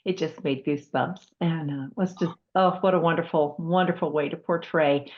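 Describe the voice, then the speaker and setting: A woman hosting a conversational interview, speaking in a polite, measured, appreciative way. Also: sits low, steady tone, highs cut off. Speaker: host, author.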